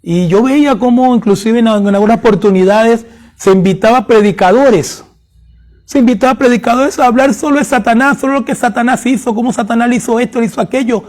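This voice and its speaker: A male preacher from Haiti with a high-pitched voice delivers a fervent sermon. He hammers his points home by repeating phrases and rises into emphatic prayer. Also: brisk, a little mellow.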